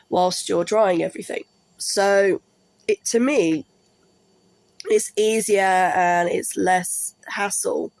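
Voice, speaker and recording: Congested woman vlogger, slow gravelly delivery, apologetic and rambling, dry close sound. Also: on the low side, no room sound, poor audio. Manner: apologetic, rambling, many ums. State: congested with a cold. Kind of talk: casual talk to camera. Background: washing machine running.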